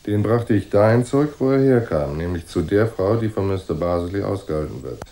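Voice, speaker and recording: Very deep, mellow hard-boiled detective. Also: male voice, animated, a little fast. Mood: assertive. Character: cool, unflappable hard-boiled male private detective. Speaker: first-person narrator and detective. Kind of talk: noir radio drama narration and dialogue.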